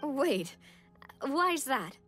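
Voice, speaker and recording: An actress playing a bright, well-bred young lady in a game, polite and inquisitive. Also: high-pitched, big pitch swings, drawn-out words, music in the background, full character voice. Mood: curious, friendly. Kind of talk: game voice acting.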